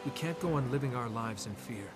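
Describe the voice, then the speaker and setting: An actor voicing a young human commoner hero, narrating a game cutscene in an earnest, solemn, determined tone. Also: male voice, medium pitch, lively intonation, fairly quick, close to an everyday voice. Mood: resolute. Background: loud orchestral music.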